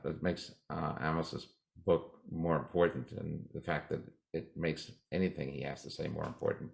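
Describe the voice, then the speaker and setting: A man in his seventies speaking as an interview guest in an emphatic way, often restarting and slowing down for key lines. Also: a bass voice, gravelly. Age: 70s. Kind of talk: interview talk.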